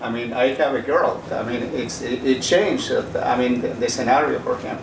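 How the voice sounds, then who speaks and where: A man of middling pitch gives a personal interview in a halting way, leaning on filler phrases. He sounds roomy and away from the mic, with clear noise behind him. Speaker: interviewee, relative of a missing person. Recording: roomy, distant mic, noisy.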